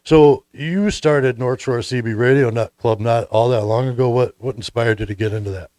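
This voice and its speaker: An American man hosting a relaxed, casual conversation about his hobby. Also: mid-range voice, normal speed, dry recording. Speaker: host.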